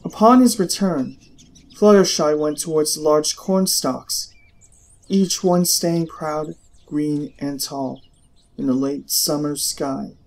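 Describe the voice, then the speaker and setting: Casting: male audiobook narrator, slightly higher register, dramatic and expressive delivery of literary prose. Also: slow, low-quality recording. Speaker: story narrator. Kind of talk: dramatic scripted narration of fiction.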